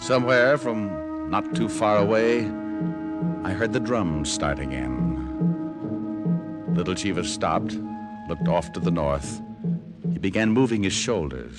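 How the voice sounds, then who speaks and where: An actor playing a wry, cool private detective, narrating and talking in a hardboiled old radio drama. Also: male voice, deep voice, lively intonation, a little slow, over loud music. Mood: cool narration. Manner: wry, understated.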